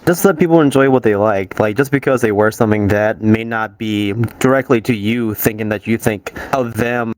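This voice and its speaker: A man's voice: lowish voice, normal speed, hardly any pauses, no echo, poor audio. A man in his late twenties co-hosting a podcast, blunt and emphatic with jokes mixed in. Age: 20s. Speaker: podcast co-host. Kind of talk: casual podcast chat.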